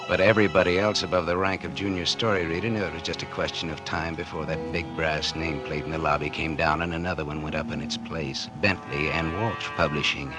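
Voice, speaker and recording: Deep-voiced bitter American noir schemer. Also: male voice, steady tone, brisk, few pauses. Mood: bitter, brooding. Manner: sardonic, slangy, hard-boiled. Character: bitter scheming male publisher, sardonic and lecherous. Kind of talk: 1940s radio acting, first-person noir narration. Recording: old 1940s radio recording with music.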